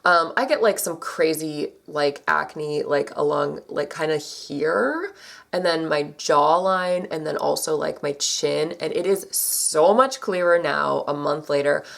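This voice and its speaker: A young woman talks casually to her camera in a low voice with plenty of fry, a little scratchy from seasonal allergies. She is chatty and loose, full of fillers, and her pitch leaps up now and then. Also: a little slow. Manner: chatty, many fillers, animated pitch jumps. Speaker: vlogger.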